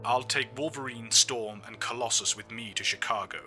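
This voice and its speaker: Casting: authoritative male mentor-commander acted, audio drama, middle register, deliberate orders. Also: mid-range voice, bright, forceful tone, soft background music. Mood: brisk, commanding. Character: commanding, methodical male leader of a hero team.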